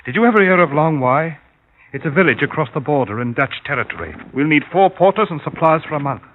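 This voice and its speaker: An actor playing a big, shady jungle trader, cynical and knowingly ominous, in a radio drama. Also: male voice, a little high, lively intonation, fast, close to an everyday voice. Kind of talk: 1950s radio drama acting. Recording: narrow, noisy old radio recording.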